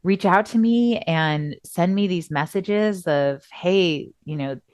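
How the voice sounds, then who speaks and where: A woman's voice: on the low side, slow, no echo, clean studio sound. A middle-aged woman co-hosting a podcast, chatting casually and acting out quotes as she tells stories, her voice still recovering from a cough. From Southern California. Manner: expressive storyteller, acts out quotes. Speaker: podcast co-host. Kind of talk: casual chat.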